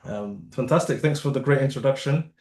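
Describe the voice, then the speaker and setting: A male podcast host talks in a relaxed, loose way, wandering through his questions with fillers. His voice is of middle pitch. The sound carries a roomy echo. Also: fairly quick. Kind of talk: casual interview hosting.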